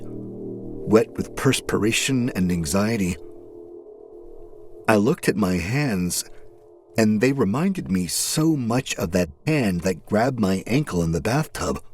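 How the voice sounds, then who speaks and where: A man playing an exhausted, unhinged narrator of a horror diary, with a grave, haunted delivery. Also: low-pitched. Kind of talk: dramatic first-person horror narration.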